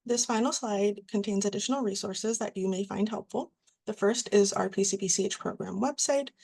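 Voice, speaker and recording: Woman, webinar presenter, gravelly fry, steady scripted reading. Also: medium pitch, fairly quick.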